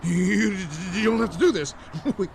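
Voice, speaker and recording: A man's voice: high voice, moderate pace, vocal fry, slight background noise. An actor playing a flustered, out-of-shape male superhero who stammers and blusters. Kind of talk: comedic audio play acting.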